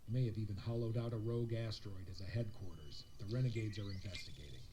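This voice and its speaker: A man's voice: lowish voice, fast talker, background noise, natural voice. A man playing a grim, businesslike starship officer in a sci-fi audio drama, clipped and matter-of-fact.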